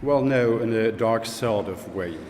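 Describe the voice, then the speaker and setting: A man reading an interview script aloud in an animated, conversational way. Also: fairly low pitch, unhurried. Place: gallery room, indoors. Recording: echoey gallery room, faint noise.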